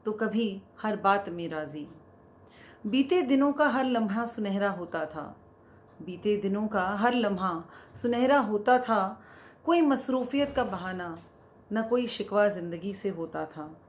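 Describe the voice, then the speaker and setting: A woman poet reciting spoken-word poetry in a deliberate way, repeating lines for emphasis. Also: medium pitch.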